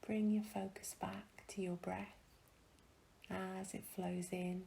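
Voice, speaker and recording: A woman guiding a meditation in a gentle, soothing, hushed and breathy voice, leaving long pauses between phrases. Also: medium pitch, little pitch movement, a little hiss or hum, rough recording. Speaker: meditation guide. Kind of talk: guided meditation, soothing and slow.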